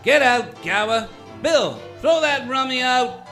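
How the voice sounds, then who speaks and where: A man acts out a bullying barman for a radio play. He is brusque and streetwise, with a very high-pitched, forceful delivery. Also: animated, takes it slowly, soft background music, full character voice. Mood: gruff, irritated. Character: gruff, hostile barman who bullies customers.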